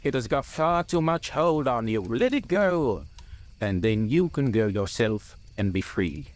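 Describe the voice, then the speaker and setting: A man voicing a grave old male wizard in a storybook, stern and patient, with a quiet, measured manner. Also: mid-range voice, animated, close to an everyday voice. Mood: stern, urging.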